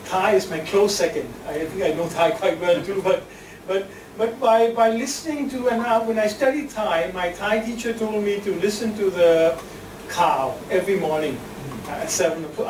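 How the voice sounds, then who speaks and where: A woman from India, speaking informally as a club's general evaluator, chatty, self-deprecating and quick to laugh. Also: fairly high pitch. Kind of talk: informal meeting remarks. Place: club meeting room. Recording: echoey room, distant mic, air conditioning hum.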